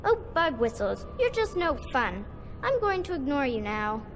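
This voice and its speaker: A woman's voice: high voice, sing-song, normal speed, a little hiss or hum. A woman voicing a playful, stubborn little girl with a childlike, sing-song manner. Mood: petulant. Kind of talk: video game cutscene voice acting. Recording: game audio with music behind.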